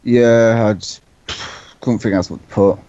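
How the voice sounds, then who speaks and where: A man giving short, deadpan, offhand answers in a casual joking chat. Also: lowish voice, measured pace, dry recording, lo-fi sound. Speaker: interviewee, amateur story writer.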